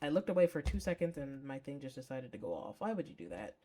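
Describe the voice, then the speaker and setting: A woman streaming a visual novel playthrough, chatting casually and playfully with expressive reactions and casual swearing. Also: a bass voice, fast talker, slight background noise. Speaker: game streamer.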